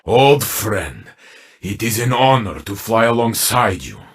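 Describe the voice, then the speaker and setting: Casting: earnest game warrior, husky and forceful, swinging widely in pitch, declamatory. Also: male voice, sing-song, measured pace, full character voice. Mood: grave, concerned. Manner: formal, declamatory. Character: valiant, earnest armored warrior man, fiercely loyal. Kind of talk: video game voice acting.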